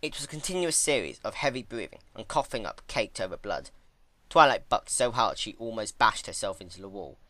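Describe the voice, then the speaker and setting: A man giving a dramatic narrated reading of a dark fan fiction story. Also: fairly low pitch, lively intonation, a little fast, dry recording.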